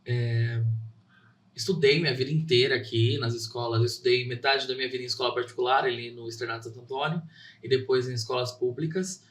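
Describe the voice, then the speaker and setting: Earnest young actor, roomy off-mic sound. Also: male voice, mid-range voice, fairly even intonation, fairly quick, fairly bright tone, distant mic. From São Caetano, Brazil. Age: young adult. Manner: earnest, heartfelt storytelling. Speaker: theater actor and guest. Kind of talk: interview answers.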